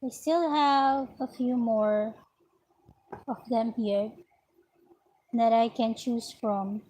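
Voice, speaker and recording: A woman vlogging about her crochet work in an unhurried, chatty way, her voice slightly raspy. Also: on the high side, steady tone, a little mellow, low-quality recording. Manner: unhurried, chatty, talks while working. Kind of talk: slow casual vlog narration while crocheting. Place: at home, indoors.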